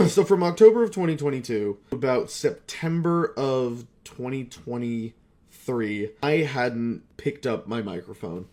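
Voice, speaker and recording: A man's voice: medium pitch, lively intonation, unhurried, darkish tone. A man talking in a rambling, confessional, casual monologue, candid and self-deprecating, with frequent swearing. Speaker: voice actor reflecting on hobby career.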